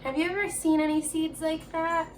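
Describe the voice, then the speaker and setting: A woman's voice: very high voice, level tone, normal speed, a little hiss or hum. A woman elementary teacher giving a children's reading lesson, encouraging and asking questions as she speaks directly to young pupils. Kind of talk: children's remote reading lesson, alternating slow read-aloud and chatty prompts.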